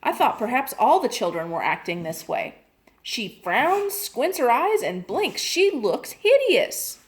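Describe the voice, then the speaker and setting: A woman's voice: a little high, animated, normal speed, voice pushed up, lightly acted. A woman reading a storybook and voicing an anxious, indignant mother complaining about her daughter. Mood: worried. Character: upset, fretting mother, middle-aged woman.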